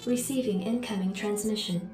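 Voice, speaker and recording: Echoing, formal military ship computer. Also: female voice, mid-range voice, steady tone, moderate pace. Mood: impersonal. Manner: impersonal, formal, clipped reporting. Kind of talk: scripted status reports in video game. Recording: very echoey, distant, music behind.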